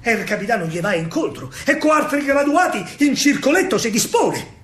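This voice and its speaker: A man with a Roman accent performing a theatrical verse monologue in dialect, expressive, dramatic and colloquial. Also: very high voice, fairly quick. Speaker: stage actor and storyteller.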